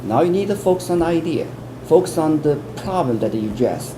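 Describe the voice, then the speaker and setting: Man, Chinese accent, interview answers, earnest, repeats and restarts, steady hum in background. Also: on the high side, a little fast. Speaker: interviewee, English test expert. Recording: air conditioning hum in background.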